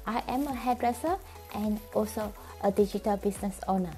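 A woman's voice: mid-range voice, brisk, warm tone, faint music behind. A woman from Australia, a hairdresser, giving a friendly, upbeat testimonial. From Melbourne, Australia. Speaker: hairdresser and online business owner. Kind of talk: promotional testimonial.

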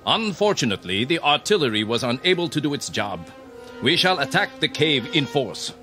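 An actor in a radio drama playing a merciless, contemptuous army captain who barks clipped, imperious orders. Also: male voice, fairly high pitch, big pitch swings, normal speed, sharp tone, faint music behind. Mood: cold, commanding.

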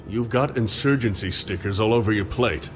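A man playing a gruff, battle-hardened soldier in a video game, with a terse, dry, sarcastic delivery. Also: fairly low pitch, normal speed, faint music behind, natural voice. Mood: suspicious, dry. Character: gruff, cynical, battle-hardened male soldier, lone survivor. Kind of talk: video game character acting.

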